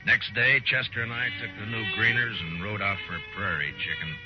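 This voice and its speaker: An actor playing a stern, laconic frontier marshal in a 1950s radio western, terse and understated. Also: male voice, fairly low pitch, fairly quick, over loud music, close to an everyday voice. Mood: dry narration. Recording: muffled old 1950s radio recording.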